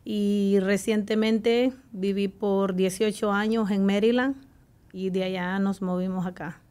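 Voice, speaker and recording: Salvadoran lady, middle-aged, chatting about her own life on a studio mic, normal pitch. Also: steady tone, measured pace, a little mellow. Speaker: interview guest. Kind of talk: recounting personal life in conversation. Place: small radio studio. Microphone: close desk microphone with headphones.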